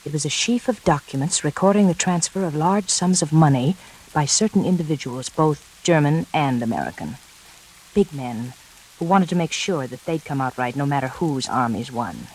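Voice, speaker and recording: An actress playing a cool, seductive schemer in a 1940s radio thriller, smooth-talking and coaxing. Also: female voice, deep voice, lively intonation, moderate pace, darkish tone, rough recording. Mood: confiding, seductive. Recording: hissy 1940s radio recording, rain noise.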